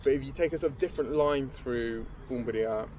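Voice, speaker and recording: A man's voice: mid-range voice, brisk, noisy background. A man co-hosting a podcast in casual chat, rambling and restarting as he explains things. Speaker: podcast co-host.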